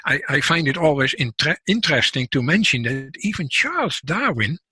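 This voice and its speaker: Older Dutch-accented man guesting on an interview, slightly husky voice, dry close sound, careful explanations. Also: on the high side, very animated, bright, forceful tone, no room sound. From Netherlands. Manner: measured, explanatory, frequent restarts. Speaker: guest scientist and author. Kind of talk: casual interview talk.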